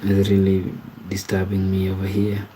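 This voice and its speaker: A man from Kenya chats casually on a livestream. His voice sits low and warm, and it barely rises or falls. He reads viewer comments aloud and stumbles over his words as he answers them. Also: completely flat delivery, a little hiss or hum, poor audio. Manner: slow, halting, repeats words and restarts while reading comments aloud. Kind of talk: casual live-stream chat.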